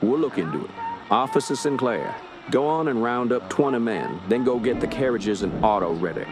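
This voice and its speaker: An actor playing a steady, authoritative police inspector, recounting a grim case in a radio drama. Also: male voice, mid-range voice, moderate pace, lightly acted. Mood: composed, authoritative. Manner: composed, authoritative. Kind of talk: radio drama narration and dialogue. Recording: music under the voice.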